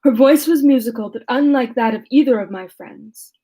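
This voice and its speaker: A woman reading aloud as a lonely male creature who tells his own story in a measured, formal way. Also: a little high, dark tone, husky, low-quality recording. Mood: earnest. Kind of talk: reading a classic novel aloud.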